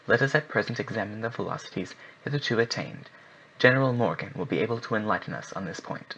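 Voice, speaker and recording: A man voicing a solemn older gun club president who speaks in a measured, formal way. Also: fairly low pitch, lively intonation, a bit bright. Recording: muffled with faint hiss.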